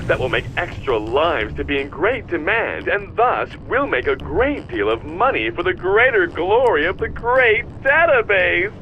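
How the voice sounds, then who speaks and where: An actor voicing a theatrical, bloodthirsty robot crime boss in a video game, gloating and commanding, with music behind. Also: male voice, sits high, sing-song, a little slow, few pauses, muffled recording, slight background noise. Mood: gleefully murderous. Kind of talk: video game cutscene acting.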